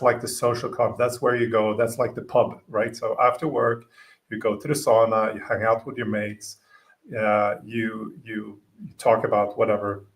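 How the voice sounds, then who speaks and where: Finnish-born guy, swedish native, kinda low voice, talks in stops and starts, sits back from the mic. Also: gravelly, distant mic. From Finland. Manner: halting, many fillers and restarts. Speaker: podcast guest, gym owner. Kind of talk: casual interview chat.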